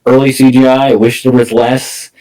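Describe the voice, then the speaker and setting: A man in a casual film discussion as a podcast guest, speaking haltingly and often repeating phrases. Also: mid-range voice, level tone, warm tone, soft background music, rough recording.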